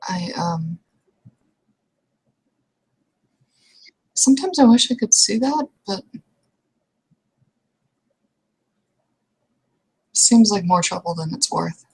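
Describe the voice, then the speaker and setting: A woman playing an anxious young female restaurant manager in a roleplay, speaking in a hesitant, halting way. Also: medium pitch, expressive, gravelly, a little mellow, slightly rough, full character voice, rough recording. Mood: wistful, tentative. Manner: hesitant, halting, fragmented speech.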